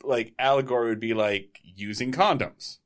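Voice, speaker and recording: A man's voice: medium pitch, normal speed, clear, resonant tone. A man co-hosting a podcast, recapping scenes in casual, joking chat full of filler words. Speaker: podcast co-host.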